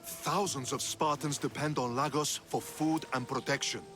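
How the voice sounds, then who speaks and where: An actor playing a measured, principled male Spartan commander in a game cutscene who argues calmly and persuasively. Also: medium pitch, soft background music, lightly acted. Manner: calm, persuasive. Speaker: Spartan general.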